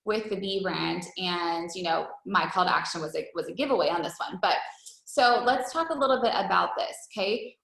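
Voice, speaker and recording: A woman's voice: medium pitch, gravelly, bright, forceful tone. A woman leading an informal team training call as a coach, talking in a wordy, conversational way with frequent check-in questions. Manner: wordy, conversational, peppered with rhetorical check-ins. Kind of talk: informal, chatty coaching presentation. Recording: roomy, echoey call recording.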